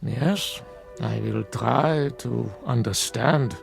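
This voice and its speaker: A solemn, troubled doctor in a radio play. He speaks slowly and gravely with a creaky edge, over prominent dramatic music. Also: mid-range voice, heavily acted. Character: solemn, dutiful male doctor troubled by horror.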